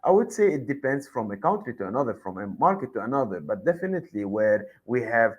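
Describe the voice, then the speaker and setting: A man's voice: medium pitch, very animated, fast talker, slightly rough, dull audio. Man explaining point by point. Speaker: expert guest. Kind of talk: interview answer.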